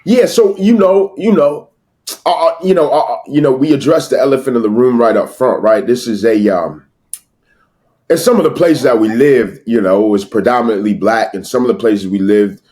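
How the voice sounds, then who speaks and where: A man's voice: medium pitch, normal speed, a little mellow. An American man talking casually as an interview guest, emphatic and repetitive, with slangy asides to the listener. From United States. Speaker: podcast guest, sales entrepreneur. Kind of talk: casual motivational interview talk.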